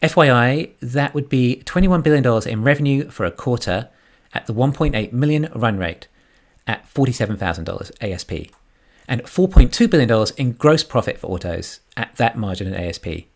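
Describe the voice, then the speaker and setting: A man's voice: mid-range voice, lively intonation, brisk. A man giving scripted financial commentary as an online analyst and narrator. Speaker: YouTube stock analyst.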